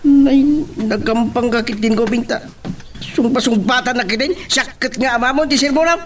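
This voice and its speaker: A man's voice: very high-pitched, normal speed, background noise. A man with a Filipino accent acting an irritable, proud father in a family radio drama. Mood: furious.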